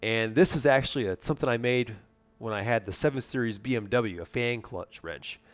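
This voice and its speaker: A man's voice: medium pitch, brisk. A congested, nasal man with a worn voice, chatting and telling stories casually as the host of a live car show. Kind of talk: casual chat and storytelling. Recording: dull, lo-fi stream audio.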